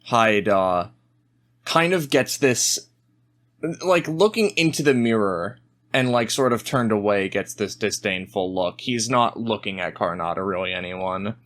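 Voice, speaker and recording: A man's voice: on the low side, a little slow, a bit bright. A man playing a tabletop game, casually improvising narration of his character.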